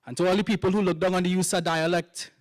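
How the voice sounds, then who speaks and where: A man's voice: sits high, fairly even intonation, fast, no room sound, studio quality. A young man with a Trinidadian accent performing a spoken word poem with rhythmic, emphatic delivery. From Trinidad and Tobago. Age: young adult. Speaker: poet.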